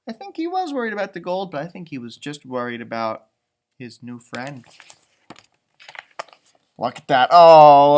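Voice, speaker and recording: A man reading a storybook aloud to young children, pausing for musing, simply worded asides in an expressive manner. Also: fairly high pitch, a little hiss or hum. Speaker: storybook reader, elementary school teacher.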